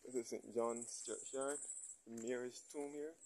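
A man giving a relaxed guided walking tour, recorded on a handheld camera as he moves from a churchyard into a large church. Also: mid-range voice, a little slow. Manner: relaxed, pointing things out as he walks. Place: outdoors in a churchyard, then inside a large church.

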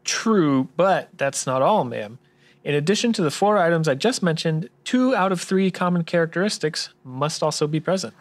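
A man's voice: fairly high pitch, expressive, moderate pace, close to an everyday voice. A man playing an earnest, polite tax expert in a scripted noir radio drama, speaking precisely and courteously. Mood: matter-of-fact. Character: earnest by-the-book male tax expert, polite detective type.

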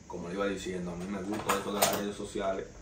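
A man's voice: deep voice, level tone, fast. A young Dominican man with a Dominican Spanish accent, hosting a casual gossip podcast with loose, slangy storytelling and calling his listeners "señores". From Dominican Republic. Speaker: podcast host. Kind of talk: casual entertainment news commentary. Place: small living room, indoors, seated on a sofa. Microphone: far camera, no mic seen. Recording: roomy echo, distant mic, faint noise.

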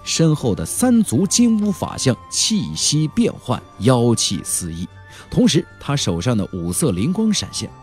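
A man's voice: medium pitch, wildly swooping pitch, measured pace, a little mellow, soft background music. A man narrating a fantasy novel as a dramatic audiobook, theatrical and emphatic, drawing out key words.

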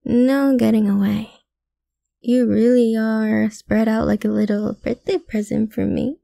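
A woman playing a possessive, lovesick mafia boss's daughter in an ASMR roleplay, speaking softly and breathily, often slipping into whispers, with sweet menace. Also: on the high side, little pitch movement, no room sound. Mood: smug, sweet. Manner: soft, breathy, slow, often dropping into whispers. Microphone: close mic, dry.

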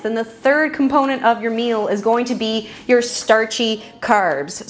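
A young woman in her twenties, a fitness coach giving an energetic, chatty meal prep tutorial to camera in a small home kitchen. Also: medium pitch. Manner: energetic, chatty, gestures while talking.

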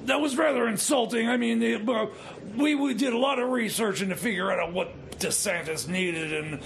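A man's voice: sits high, normal speed, vocal fry, a bit bright, slight background noise. A man playing a jittery wheeler-dealer jailbird in improvised comedy radio theater, rambling, stammering and repeating himself. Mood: grumbling. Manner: rambling, stammering, muttering repetitions.

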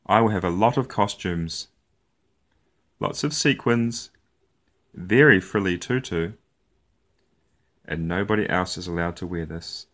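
A man reading a picture book aloud for young children in an expressive storytelling style, with deliberate, playful phrasing. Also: sits low.

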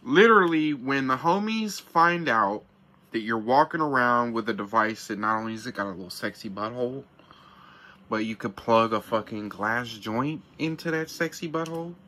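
Hyped, slangy man with a bright voice. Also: mid-range voice, lively intonation, moderate pace. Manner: hyped, slangy, swears a lot. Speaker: product reviewer. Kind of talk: casual profane review vlog. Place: small camper van interior.

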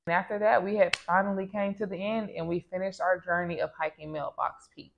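A woman of about thirty from the American South talks straight to camera in a chatty, easygoing way. Her voice sits somewhat low, and it is close and clean from a lapel mic in a small room at home. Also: steady tone, fairly quick, muffled recording, slight background noise. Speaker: vlogger. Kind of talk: casual travel vlog.

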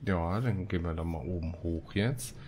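A man's voice: low-pitched, normal speed, darkish tone, slight background noise. A man giving casual let's play commentary and reading quest text aloud, with playful colloquial asides. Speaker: gaming video host.

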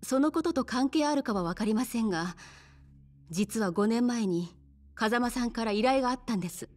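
A woman voicing a composed, mysterious bar madam in a Japanese video game, speaking politely and softly feminine in phrasing. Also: fairly high pitch, animated, normal speed, close to an everyday voice. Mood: grave, knowing.

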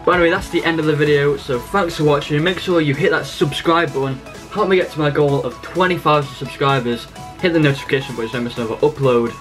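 A man's voice: a little high, steady tone, fast, a bit bright, faint music behind. A fifteen-year-old boy with an English accent, talking casually to camera as a vlogger answering questions. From England. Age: 15, teenager. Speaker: vlogger, channel host. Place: small bedroom, indoors.

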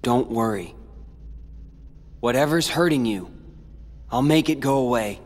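A man's voice: medium pitch, measured pace, big-room sound, lightly acted. A man voicing a troubled, defiant young male hero in a video game cutscene, earnest and emotional. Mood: protective.

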